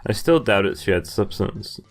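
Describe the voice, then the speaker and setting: A young man reading game text aloud and commenting as he plays, with amused, halting asides. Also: on the low side, animated. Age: young adult. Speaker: video game player and commentator. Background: game music.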